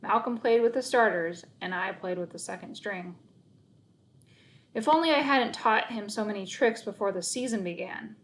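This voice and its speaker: A woman's voice: medium pitch, normal speed, poor audio. A woman narrating a children's book aloud in the first person, reading expressively.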